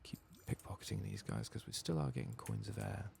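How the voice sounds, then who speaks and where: A man doing a soft-spoken ASMR game playthrough, murmuring and often slipping into a whisper. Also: fairly low pitch, huge pitch swings, a little fast, creaky, slight background noise. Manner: murmuring, drifting between soft speech and whisper. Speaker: ASMR gaming streamer.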